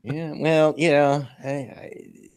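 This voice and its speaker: A man in his late fifties with a gravelly voice, hosting a casual livestream talk show and reading local news in a folksy, rambling way. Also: fairly high pitch, little pitch movement, very slow, darkish tone. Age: 50s. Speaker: show host.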